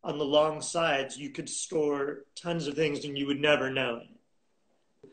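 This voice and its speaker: A man in his thirties talking as a guest in a video call interview, unhurried and halting with many fillers and restarts. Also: on the high side, fairly even intonation, fairly bright tone, rough recording. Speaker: auction house specialist, guest. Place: home room indoors. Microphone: video call webcam.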